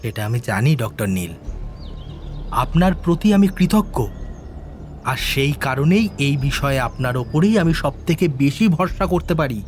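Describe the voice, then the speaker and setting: A man acting an obsessed, secretive scientist in a Bengali audio drama, confessional and emphatic. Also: on the high side. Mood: earnest, grateful. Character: obsessed male scientist, intense, secretive, driven to create life. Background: background music.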